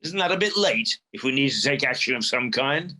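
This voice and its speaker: A man's voice: mid-range voice, expressive, brisk, pushed and bright. A man playing an agreeable office underling in a radio comedy-drama, dry and deferential in manner. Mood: dryly deferential. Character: agreeable male underling, quietly dry and questioning. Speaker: actor in a radio play.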